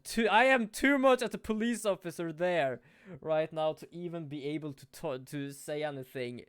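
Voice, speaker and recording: A man streaming a game playthrough, animated, swearing and laughing at himself as he reacts. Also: fairly high pitch, moderate pace, smooth, no echo, clean studio sound.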